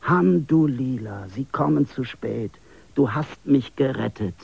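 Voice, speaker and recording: A man voicing a small, excitable man who frets loudly and gushes over his friend. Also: a little slow, creaky, husky, lo-fi sound, heavily acted. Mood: relieved. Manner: shrill, frantic, effusive.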